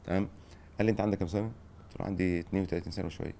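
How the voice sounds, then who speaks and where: A middle-aged Egyptian man with a lowish voice, talking very fast. He hosts a relaxed chat and hands out advice. He keeps checking in with short rhetorical questions. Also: gravelly. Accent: Egyptian Arabic. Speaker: podcast host, former football director. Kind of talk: casual advice-giving chat.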